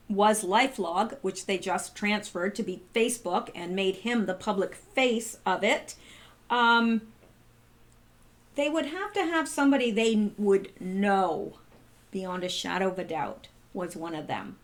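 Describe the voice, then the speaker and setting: A woman hosting her channel, reading out posts and commenting in a casual, halting way full of rhetorical questions. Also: medium pitch. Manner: conversational, many fillers and restarts, rhetorical questions. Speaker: channel host.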